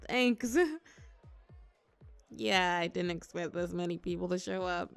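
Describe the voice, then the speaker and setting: A young woman acting a shy, awkward, gently teasing birthday girl in a scripted audio roleplay, with a halting, expressive, conversational delivery. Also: on the low side, clean tone, faint music behind. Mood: bashful, pleased. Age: young adult. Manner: bashful, halting, giggly, expressive.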